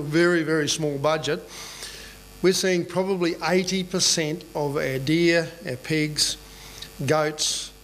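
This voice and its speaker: A middle-aged Australian man speaks from a lectern in a hotel conference room, his voice sitting a little high for a man. He talks plainly and conversationally, often checking in with the room. A faint mains hum runs under the recording. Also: poor audio. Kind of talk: presentation talk. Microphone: boom mic on a lectern stand.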